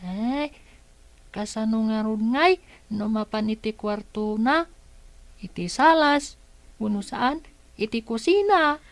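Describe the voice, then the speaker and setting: A woman's voice: fairly high pitch, expressive, takes it slowly, pauses often, no echo. A Filipino woman playing a curious, naive child in a radio drama. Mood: curious, amazed.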